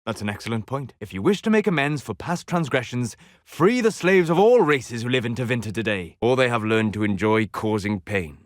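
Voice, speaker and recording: A man playing a calm, scholarly, melancholy elven mage in a video game, speaking in deliberate, formal phrases. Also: mid-range voice, no echo, studio quality, natural voice. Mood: grave, measured. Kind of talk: scripted video game character dialogue.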